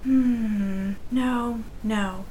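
A woman's voice: on the high side, steady tone, extremely slow, dark tone, a little hiss or hum. An actress playing a curt, commanding female bootlegger boss in a 1930s noir audio drama. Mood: guarded. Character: tough, menacing female underworld bootlegger boss with grudge.

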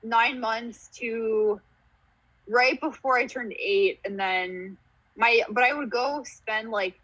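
A woman's voice: a little high, takes it slowly, fairly bright tone, breathy edge, lo-fi sound. A young woman comedian, born in Romania and raised in Canada and the US, chatting casually as a podcast guest, giggly and rambling with lots of "like".

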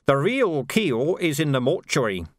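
A man's voice: a little high, sing-song, measured pace, no echo, studio quality, pitched above the performer's own voice. A man voicing a menacing, unhinged male sergeant who talks with sneering mock politeness.

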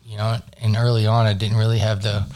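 A low-pitched man in his thirties, from North Carolina, speaks as a studio podcast guest. He talks in a relaxed, halting way, leaning on fillers as he recounts his past. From grew up in North Carolina. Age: 30s. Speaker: guest in recovery. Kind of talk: casual interview.

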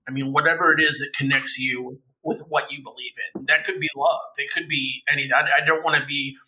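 A man's voice: a little high, level tone, fairly quick, sharp tone, slight background noise, rough recording. A man hosting a podcast interview, speaking conversationally and carefully clarifying what he means. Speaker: podcast host interviewing a guest.

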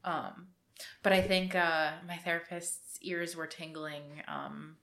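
A woman co-hosting a podcast in casual chat, talkative and quick to laugh, with casual swearing. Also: on the low side, fairly even intonation, creaky, a bit bright. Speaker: podcast co-host.

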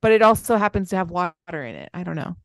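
A woman's voice: lowish voice, sing-song, quick pace, vocal fry, no room sound, studio quality. A woman co-hosting a podcast, reading researched notes in an informal way and chatting casually between them. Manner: informal, stumbles over foreign names. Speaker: podcast co-host.

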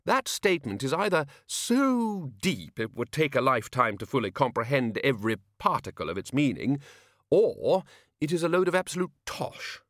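A man voicing a blustering older male wizard who heads a university, speaking in a bluff, drawn-out way. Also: mid-range voice, big pitch swings, fairly bright tone, no room sound, clean studio sound, pitched above the performer's own voice. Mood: gruff.

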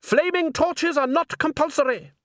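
A man voicing an agitated, triumphant man who impatiently shouts commands at a crowd in an audiobook story. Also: extremely high pitch, normal speed, dry recording, studio quality, pitched above the performer's own voice. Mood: exasperated shouting.

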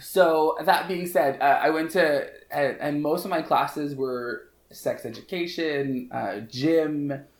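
A man's voice: on the high side, slow pace, hardly any pauses. Quirky man in his thirties, slight French Canadian accent, self-mocking and giggly, recorded in an echoey room. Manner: playful, laughs often, self-mocking. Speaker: podcast guest, hairstylist. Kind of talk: casual chat.

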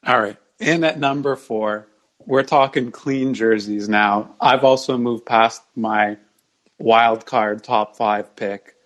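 Mid-pitched man as a measured podcast guest. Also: steady tone, a bit bright. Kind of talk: casual sports discussion.

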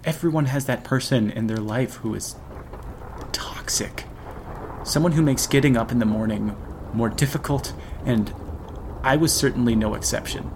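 A man's voice: medium pitch, normal speed, noisy background. A man narrating a horror story in a dramatic radio drama style. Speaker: narrator and actor.

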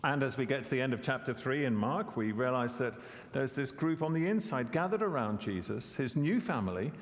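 A man's voice: medium pitch, expressive, brisk, in a large hall. A man preaching a sermon, conversational and questioning, often repeating words as he corrects himself. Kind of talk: expository sermon.

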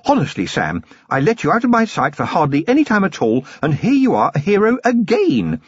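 A man's voice: on the high side, huge pitch swings, brisk, breathy edge, no room sound, highs cut off, pitched above the performer's own voice, exaggerated voice. A man voicing a genteel noblewoman with a refined, gushing upper-class lilt. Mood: fond. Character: genteel noblewoman, warm and affectionate.

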